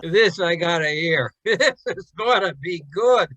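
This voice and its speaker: An actor playing a wry, amused saloon bartender in an old-time radio play. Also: male voice, high voice, expressive, unhurried, pushed and bright, no room sound, dull audio, heavily acted.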